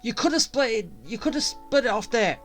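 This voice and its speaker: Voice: mid-range voice, expressive, a little fast, faint music behind. A game streamer giving casual, rambling and grumbling commentary while playing. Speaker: gaming streamer.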